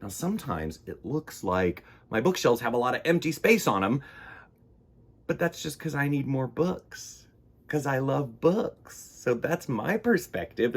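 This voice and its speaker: A man hosting a children's storytime, reading and chatting in a theatrical, expressive way. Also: mid-range voice, breathy edge. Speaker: children's storytime host and reader.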